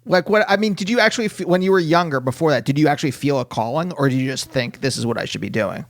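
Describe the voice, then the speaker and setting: A man's voice: fairly high pitch, fast, no room sound, studio quality. A male podcast host asking probing questions, rephrasing them as he goes. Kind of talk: casual interview questions.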